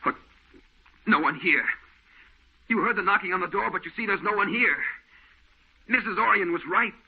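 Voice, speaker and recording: A man's voice: sits high, moderate pace, creaky, slightly rough, heavily acted. An actor playing a frightened, agitated man in an old radio horror play. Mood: frightened, insistent. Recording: tinny, phone-like old radio recording.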